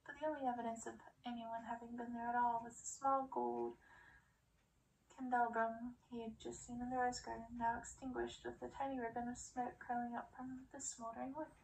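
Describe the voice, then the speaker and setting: Creaky-voiced woman reading a storybook. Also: on the high side, brisk, a little hiss or hum, low-quality recording. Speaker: storybook reader, ASMR creator. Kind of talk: ASMR audiobook reading, scripted narration.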